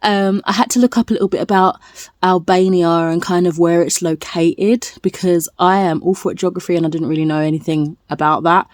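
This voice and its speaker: A woman with a slightly low voice, recorded close and dry with very little room sound. She chats casually like a book vlogger, rambling along in a playful, self-deprecating way and dropping in silly turns of phrase. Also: steady tone, fairly quick, darkish tone, no echo. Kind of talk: casual chatty vlog to viewers.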